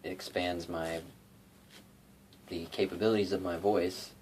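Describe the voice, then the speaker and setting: A man's voice: low-pitched, steady tone, a little slow, a little mellow, a little hiss or hum. A young man rambling casually about covering songs, full of fillers and restarts, recorded on a phone in his bedroom. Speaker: YouTube cover singer and guitarist. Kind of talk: casual rambling vlog talk.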